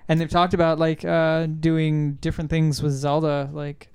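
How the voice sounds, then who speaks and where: A man's voice: a little high, monotone, normal speed, darkish tone, clear, resonant tone, no echo. A man co-hosting a casual discussion, explaining his opinions in a rambling way. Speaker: podcast co-host. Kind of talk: casual discussion of video games and films.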